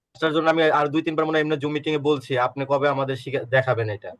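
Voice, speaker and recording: A man in a casual online class discussion, talking in a halting way and repeating word starts. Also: on the high side, fairly even intonation, dry recording. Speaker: class participant.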